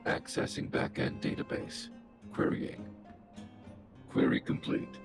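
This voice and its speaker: A man voicing a huge, stern, logical game robot with a gravelly synthetic voice, speaking in clipped, formal, deadpan phrases like a computer. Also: slow pace, music in the background, natural voice. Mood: cold, formal. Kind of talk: scripted video game dialogue.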